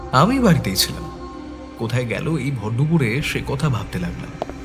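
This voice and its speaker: A man narrating a detective story as the sleuth's loyal, concerned companion, in a measured dramatic style. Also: medium pitch, natural voice. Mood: subdued, reflective. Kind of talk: scripted suspense story narration. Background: background music.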